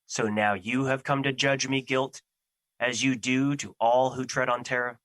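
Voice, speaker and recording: A man's voice: mid-range voice, fairly even intonation, moderate pace, fairly bright tone, no echo, natural voice. A man playing Fear as a personified emotion in an audio drama, troubled, defensive and duty-bound, with earnest, formal phrasing.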